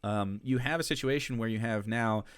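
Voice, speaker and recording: A man's voice: lowish voice, moderate pace, smooth, no room sound, studio quality. A man who streams political commentary, reacting to clips in a casual, sarcastic and profane way, laughing between remarks.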